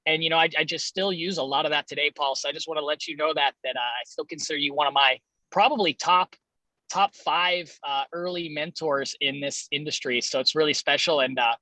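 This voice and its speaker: A man's voice: on the high side, fairly quick, pushed and bright, no echo, low-quality recording. A man hosting a training call in a casual, chummy, enthusiastic way, introducing and interviewing a guest.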